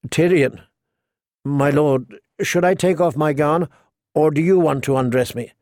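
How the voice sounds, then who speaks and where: A man's voice: on the high side, moderate pace, a little mellow, dry recording, clean studio sound, natural voice. A man doing a timid young noble bride. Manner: timid, formal. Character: frightened young noble bride, meek and polite.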